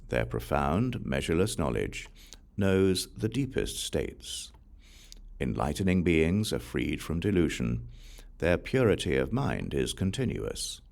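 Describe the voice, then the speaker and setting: Very deep, gravelly male scripture reader. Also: a little mellow. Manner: measured, deliberate phrasing with pauses. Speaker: audiobook reader. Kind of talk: solemn scripted reading of scripture.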